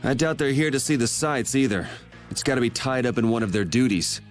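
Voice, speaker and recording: A man plays a cool young rogue in a video game. He is sardonic and laid-back, with dry delivery at a medium pitch. Music runs faintly behind. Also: expressive, fairly quick, lightly acted. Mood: wry. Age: young adult.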